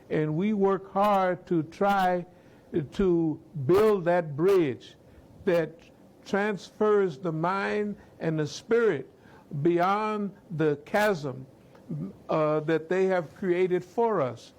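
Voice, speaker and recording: A man with a fairly high voice speaks as an imam on a discussion panel. He talks slowly and deliberately, weighing each phrase, with steady pauses between thoughts. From United States. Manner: slow, measured, deliberate. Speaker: imam answering questions.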